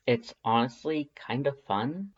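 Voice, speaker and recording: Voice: mid-range voice, animated, a little slow. A narrator reading scripted second-person fan fiction as an audiobook. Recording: slightly dull, band-limited audio.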